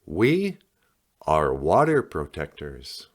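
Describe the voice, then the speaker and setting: A man reading a picture book aloud in a solemn, dramatic storytelling style with deliberate pauses. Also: rumbling low, huge pitch swings. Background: music.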